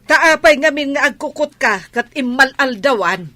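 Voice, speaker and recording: Woman doing bossy village wife, ilocano filipino accent, nags sharply, highish bright voice, dry close sound. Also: lively intonation, moderate pace, dry recording. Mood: scolding. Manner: sharp, nagging. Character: scolding, bossy village wife nagging her husband. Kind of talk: Ilocano radio comedy drama.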